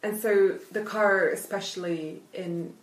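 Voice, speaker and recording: A woman's voice: medium pitch, steady tone, measured pace, far from the mic. A woman whose first language is Italian, talking about literature in an interview, thoughtful and hesitant, with many fillers and restarts. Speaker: literature academic. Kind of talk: conversational interview. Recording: echoey room, off-mic.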